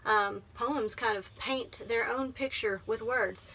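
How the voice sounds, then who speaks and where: A woman's voice: on the high side, slow pace, sharp tone, poor audio. A woman library teacher reading a picture book aloud, explaining things simply and prompting with questions.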